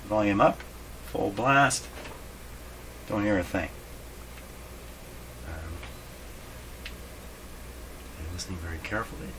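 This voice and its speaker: Male demo host, low register, casual self-muttering delivery with stop-and-start phrasing, noisy recording. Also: animated, a bit bright. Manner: muttering to himself while working, halting, repetitive. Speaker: host of a radio repair video. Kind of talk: casual hands-on demonstration commentary. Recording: noisy, with clear background noise. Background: ambient noise from radio being tested.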